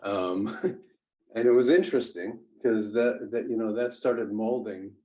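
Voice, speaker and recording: A man in his sixties whose first language is Italian, telling his life story as an interview guest in a halting way, with many restarts and fillers. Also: on the low side, dark tone. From United States. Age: 60s. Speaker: interview guest, business executive. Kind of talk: conversational storytelling.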